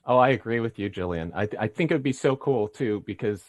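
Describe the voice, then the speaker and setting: A man's voice: on the low side, expressive, fast, dry recording. A man chatting casually as a panelist in an online discussion. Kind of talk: casual chat in a video panel. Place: indoors, online video call.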